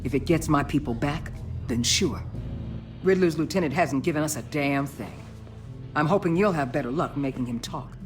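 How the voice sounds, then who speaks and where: A woman's voice: deep voice, normal speed, close to an everyday voice. A woman plays a hard, commanding female agency boss in a dramatic video game. She speaks bluntly and with ruthless authority. Faint music sits behind her voice. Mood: grave.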